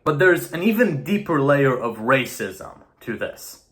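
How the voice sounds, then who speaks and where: A man's voice: medium pitch, a bit bright. A young man whose first language is Romanian, giving a blunt, earnest monologue to camera with some swearing for emphasis. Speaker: video essayist.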